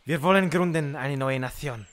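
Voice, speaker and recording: A man playing a calm, solemn revolutionary witch with a Spanish accent and deliberate broken phrasing, in a comic audio drama. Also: mid-range voice, close to an everyday voice.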